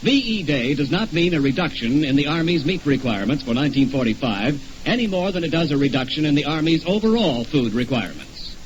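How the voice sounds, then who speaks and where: A man's voice: on the high side, sing-song, fairly quick, few pauses. A man reading a formal scripted announcement in 1940s radio style. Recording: muffled, faintly noisy old radio broadcast.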